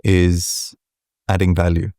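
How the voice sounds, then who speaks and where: A man with a deep voice answering interview questions as a guest, measured and deliberate, often checking in with "right?". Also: level tone, warm tone, no room sound, clean studio sound. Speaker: venture capital partner, guest.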